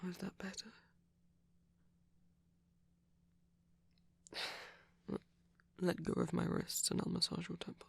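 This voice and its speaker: A woman playing a gentle, doting vampire boyfriend in a soft-spoken romantic ASMR roleplay, tender and lightly teasing, often whispering close to the microphone. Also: mid-range voice, little pitch movement, brisk, vocal fry, slightly rough, heavily acted. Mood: tender, soothing.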